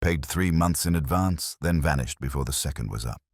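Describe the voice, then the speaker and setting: Indifferent solicitor, voiced by a male narrator, very low, clipped and offhand, with swooping intonation. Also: animated, normal speed, no echo, clean studio sound, full character voice. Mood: offhand, vague.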